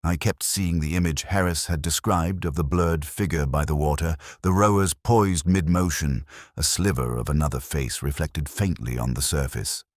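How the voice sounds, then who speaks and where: A man playing a weary, brooding middle-aged police inspector, narrating a scripted detective story in a measured, reflective, literary manner. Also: rumbling low, dry recording, studio quality.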